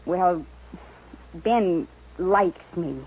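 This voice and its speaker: A woman's voice: fairly low pitch, extremely slow, breathy edge, heavily acted. An actress playing a timid, earnest fifteen-year-old prairie girl in a 1950s radio western, hesitant and deferential, with a rural American accent. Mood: reluctant, uneasy. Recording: muffled old radio broadcast.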